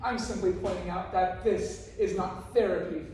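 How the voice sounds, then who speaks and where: A woman's voice: lowish voice, normal speed, a little mellow, close to an everyday voice. Stern, exasperated female teacher for a stage play, played by a woman: firm, curt, far from mic, heavy echo. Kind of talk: one-act stage play acting. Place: live stage performance, recorded from afar. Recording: very echoey room, distant mic, faint noise.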